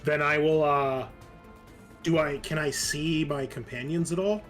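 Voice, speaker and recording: A man's voice: fairly high pitch, steady tone, measured pace, a bit bright. A man chatting casually as a player in a tabletop game, with a jokey, wry manner. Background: game music.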